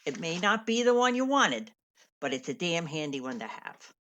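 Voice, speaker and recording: A woman playing a worldly theater veteran in a radio drama. Her low voice moves in lively swings, frank and slangy, with wry, kindly mentoring. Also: normal speed. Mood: wry, encouraging. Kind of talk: radio play acting.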